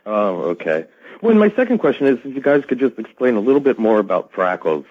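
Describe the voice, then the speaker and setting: A man's voice: mid-range voice, big pitch swings, fast, husky. A man calling in to a radio show with tentative questions, heard over a telephone. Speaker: radio caller. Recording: tinny, narrow telephone line.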